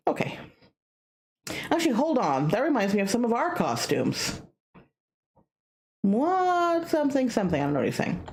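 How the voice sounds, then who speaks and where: A woman streamer reading a visual novel aloud, with expressive, joking, self-mocking casual asides. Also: lowish voice. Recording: music behind the voice. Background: music.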